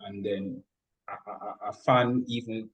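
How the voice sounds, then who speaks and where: A man with a Jamaican accent talking as an interview guest, giving advice in a halting way with repeated words. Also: lowish voice, fairly even intonation, rough recording. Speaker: guest, music studio professional.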